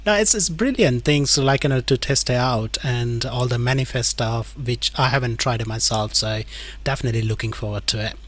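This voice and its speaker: A man's voice: mid-range voice, fairly quick, fairly bright tone. A man hosting an online tech conference on a video call, chatting casually with frequent "like, you know" fillers. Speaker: event host.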